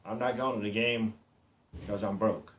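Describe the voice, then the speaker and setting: A man in his fifties from Romania with a Romanian accent, introducing himself bluntly and boastfully in broken English with many pauses and fillers. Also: on the low side, fairly even intonation, roomy sound, slight background noise. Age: 50s. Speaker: reality show applicant. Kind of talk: casual self-introduction to camera.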